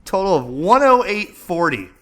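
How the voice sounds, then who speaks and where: A man talking casually and excitedly as an amateur radio vlogger, chatty and rambling as he thinks aloud. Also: high-pitched, moderate pace. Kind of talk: casual enthusiastic vlog commentary, browsing and narrating.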